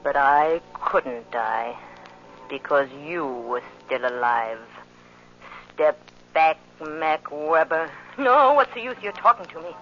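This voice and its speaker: A woman's voice: sits low, takes it slowly, faint music behind. An actress playing a grief-stricken, vengeful young widow in 1940s radio drama, intense and dramatic, repeating her phrases obsessively. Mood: frantic, vengeful. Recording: muffled, noisy old radio recording.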